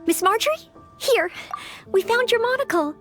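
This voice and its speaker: A young maid aspiring to knighthood in a video game. Her voice is very high and moves animatedly up and down, with faint music behind it. She speaks with humble, eager courtesy and sincerity. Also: lively intonation, moderate pace. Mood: eager, helpful.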